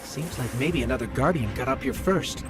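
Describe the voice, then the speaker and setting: A man's voice: medium pitch, animated, normal speed, natural voice. A man voicing a small, confident robot companion in video game dialogue, joking and planning aloud. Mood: wry. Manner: quippy, upbeat planner. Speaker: companion guide. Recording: game audio over loud music.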